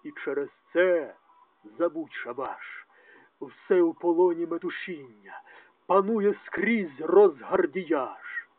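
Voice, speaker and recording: A man giving a dramatic, declamatory poetry recitation, swinging between loud and hushed delivery, on a tinny, narrow-band recording. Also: a little high, animated, slow, dry recording. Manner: declamatory, with strong rises and drops in loudness. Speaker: translator reading own poetry translations. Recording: tinny, narrow phone-like sound.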